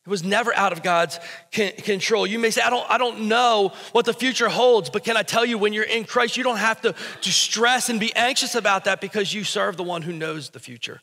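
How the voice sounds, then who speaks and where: A man with an American accent delivers a sermon in an emphatic, conversational way. His voice sits a little high and has a bright, forceful edge. It rings with the long reverb of a large hall. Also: a little fast. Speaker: pastor. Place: stage of a church auditorium, indoors.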